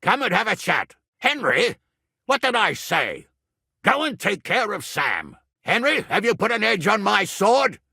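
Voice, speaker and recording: A gruff middle-aged nobleman and guardian in a medieval video game. His voice is forceful and gravelly, and he takes his time over his words. He is blunt, earthy and used to giving orders. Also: high voice, very animated, unhurried, dry recording, studio quality, exaggerated voice. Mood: commanding. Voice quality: gravelly, raspy. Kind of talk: dramatic video game dialogue.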